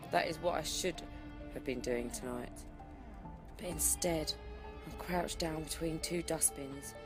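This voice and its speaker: An actress playing a professional career woman surviving an apocalypse, narrating an audio drama in a deliberate, theatrical way. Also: fairly low pitch, close to an everyday voice. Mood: rueful. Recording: voice over loud music bed. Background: music.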